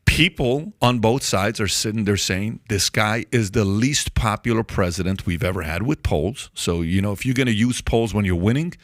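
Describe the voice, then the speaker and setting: A man's voice: on the low side, moderate pace, hardly any pauses, no room sound, clean studio sound. A man hosting a podcast, talking conversationally and repeating his points for emphasis. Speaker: podcast host. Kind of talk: podcast discussion.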